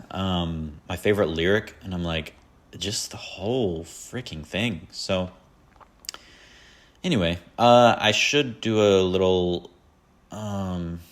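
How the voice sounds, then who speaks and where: A man's voice: deep voice, measured pace, long pauses, fairly bright tone. A man hosting a solo podcast, chatting casually and rambling about his favorite albums with lots of fillers and self-deprecating asides. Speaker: podcast host.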